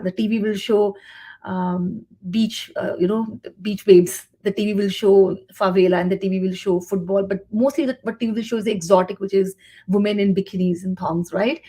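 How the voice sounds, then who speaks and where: Woman with a soft, husky voice. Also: mid-range voice. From India. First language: Hindi. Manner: thoughtful, with many fillers and restarts. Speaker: guest writer and translator. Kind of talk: conversational interview answers.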